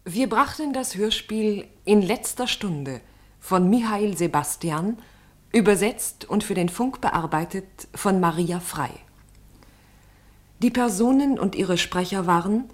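A woman announcer reading the radio play's credits in a formal, measured way. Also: medium pitch.

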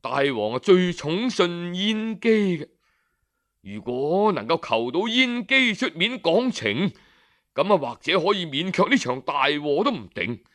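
A man's voice: sits high, sing-song, fairly bright tone, pitched below the performer's own voice, heavily acted. A man voicing a discreet, confiding royal younger brother. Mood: confiding advice. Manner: confiding, measured, advisory. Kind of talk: storybook character dialogue.

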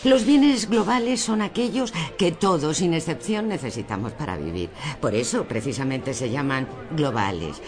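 A man's voice: on the high side, lively intonation, moderate pace, hardly any pauses, close to an everyday voice. A man playing a docile, smug female shopper in a surreal radio drama, theatrical and preachy, with a Castilian Spanish accent. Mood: blissfully smug. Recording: music under the dialogue.

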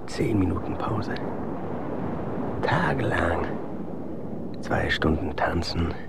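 A bitter, exhausted marathon dancer in a radio play. His low, gravelly voice slips into breathy muttering and moves extremely slowly, repeating itself wearily. Loud noise surrounds him. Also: sing-song, exaggerated voice. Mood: weary, numb. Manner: weary, muttering, repetitive, half-whispered. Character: exhausted, bitter male marathon dancer recounting the endless grind. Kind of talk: radio drama inner monologue. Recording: loud noise and ambience behind the voice.

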